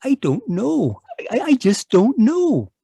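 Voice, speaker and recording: A man's voice: very high-pitched, wildly swooping pitch, measured pace, creaky, dark tone, breathy edge, dry recording, studio quality, heavily acted. An actor playing a timid, apologetic, world-weary man of about fifty in a radio mystery, hesitant and stammering. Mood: nervous. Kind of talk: radio mystery drama acting. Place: live remote performance over video call.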